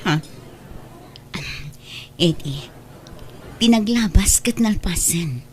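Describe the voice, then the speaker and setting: A woman playing a married woman who brushes off her former boyfriend, sounding brisk and dismissive in an audio drama. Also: mid-range voice, animated, slight background noise.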